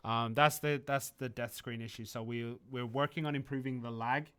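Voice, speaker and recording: A man's voice: medium pitch, steady tone, normal speed, fairly bright tone, clean tone. A man casually answering viewer questions about a game on a livestream, rambling through explanations with many ums and restarts. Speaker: community manager on a game stream.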